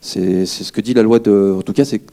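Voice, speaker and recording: A man from France speaking from the floor at a public debate, polite and earnest, halting with fillers. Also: fairly low pitch, fairly even intonation, warm tone. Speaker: audience member at a public debate.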